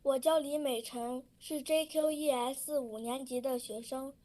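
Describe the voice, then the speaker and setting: A woman reading a prepared public statement in steady recited sentences. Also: high-pitched, measured pace. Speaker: student public speaker.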